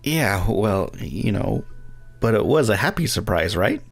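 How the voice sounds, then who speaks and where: Animated fan series: actor as kind, flustered young man, very lively pitch, music underneath. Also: a little slow, creaky, heavily acted. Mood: awkward, flustered. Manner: stammering, earnest.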